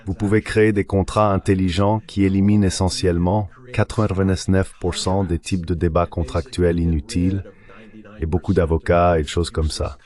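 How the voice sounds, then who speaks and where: A man's voice: low-pitched, little pitch movement, normal speed, darkish tone, close to an everyday voice. A man delivering an explanatory voice-over translation for an interview guest. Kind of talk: dubbed interview commentary, explanatory.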